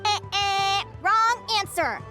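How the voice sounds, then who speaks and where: A woman's voice: very high-pitched, expressive, slow, over music, heavily acted. A woman voicing a young, cheerful adventuring instructor in an anime game, with a playful, encouraging teacherly manner. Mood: playful teaching. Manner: playful, encouraging, quizzing like a schoolteacher. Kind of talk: anime video game voice acting.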